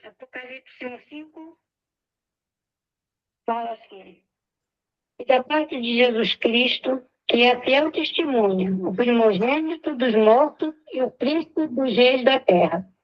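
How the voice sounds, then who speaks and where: A woman in an online church study reading a Bible verse aloud, careful and measured, from a distance on a video call. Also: a little high, dull audio. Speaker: study participant reading scripture. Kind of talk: slow scripture reading.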